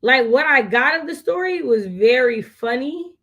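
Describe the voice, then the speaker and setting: An American woman co-hosting a casual book chat, telling things in an animated, slangy way. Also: high-pitched, moderate pace, lo-fi sound. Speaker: book readalong co-host.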